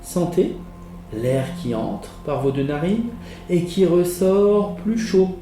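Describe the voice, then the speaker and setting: Male yoga teacher for guided meditation: fairly high, slow, soothing delivery, roomy sound over soft music. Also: animated. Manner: soothing, measured instructions with pauses. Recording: roomy echo with music behind. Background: relaxation music.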